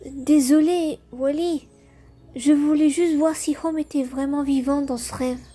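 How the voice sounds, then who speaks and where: A woman's voice: sits high, steady tone, a little slow, dark tone, faint music behind. A woman voicing a curious, easily bewildered puppet boy in an amateur comic dub, earnest and wondering.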